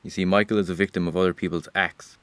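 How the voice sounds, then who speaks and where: A man's voice: low voice, flat delivery, fast, fairly bright tone, clean tone, dry recording. A man playing Rain as a weary observer of humanity, addressing the listener directly in a wry, reproachful audio drama monologue. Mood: wry, sombre.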